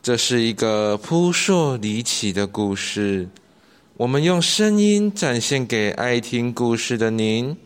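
A man's voice: medium pitch, animated, takes it slowly, clean tone. A teenage male student announcing a school radio drama in a scripted introduction.

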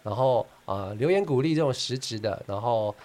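A woman's voice: very deep voice, animated, normal speed, darkish tone. A woman with a Taiwanese accent co-hosting a casual podcast chat. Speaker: podcast co-host. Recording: clear background noise.

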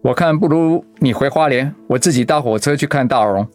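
A man playing a gentle, stoic, ailing middle-aged husband in a radio drama, hesitant and reassuring. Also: mid-range voice, brisk, soft background music, natural voice. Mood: hesitant, apologetic.

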